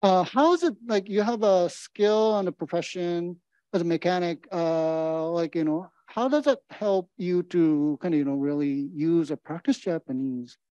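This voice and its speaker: A man's voice: high voice, normal speed, mellow, smooth, no echo, dull audio. A middle-aged man moderating a panel interview, asking encouraging questions into a handheld mic with many fillers. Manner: encouraging, many fillers and back-channel murmurs. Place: indoor meeting room.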